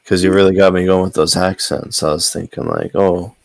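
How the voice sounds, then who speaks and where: A man's voice: low voice, little pitch movement, fairly quick, vocal fry, no echo. A man co-hosting a comedy podcast, chatting casually and telling rambling stories. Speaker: podcast co-host.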